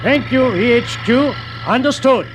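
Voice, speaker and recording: Commanding, high-voiced spaceship leader. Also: male voice. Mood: crisp command. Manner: authoritative, measured, precise. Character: commanding spaceship leader, coolly confident, a villainous mastermind. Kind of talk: 1950s radio drama acting. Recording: noisy old radio broadcast recording.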